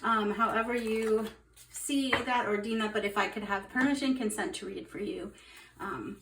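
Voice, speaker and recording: A woman's voice: mid-range voice, normal speed, fairly bright tone, recorded from a distance, a little hiss or hum. Upbeat woman tarot reader in roomy echo. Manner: chatty, upbeat, rambling with fillers. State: slightly hoarse from overuse. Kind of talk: casual tarot reading to camera.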